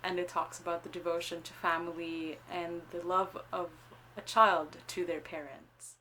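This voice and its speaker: Young woman, singer, introduces her set at a recital; gentle, explanatory, slightly low voice, faint hum behind. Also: level tone, normal speed, rough recording. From Philippines. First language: Tagalog.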